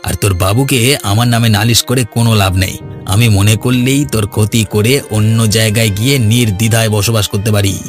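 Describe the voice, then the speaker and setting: A man's voice: fairly low pitch, steady tone, quick pace, faint music behind, lightly acted. A man playing a brash, quarrelsome young villager in a cartoon, talking bluntly and goading in colloquial speech. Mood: menacing. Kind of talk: Bengali cartoon dialogue.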